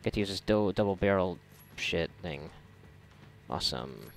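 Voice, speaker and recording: A man giving casual, reactive commentary while gaming, sarcastic and foul-mouthed, with a gravelly voice. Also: deep voice, lively intonation, moderate pace. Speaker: gamer recording a playthrough. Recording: noisy, game gunfire behind voice. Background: video game gunfire and ambience.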